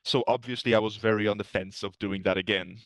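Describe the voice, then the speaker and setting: A man as a guest in a relaxed interview. He talks candidly and thoughtfully, laughing easily. His voice is smooth and resonant, and the sound is dry and close. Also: fairly low pitch, fast talker, dry recording, poor audio. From Poland. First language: Polish. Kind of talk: casual conversation.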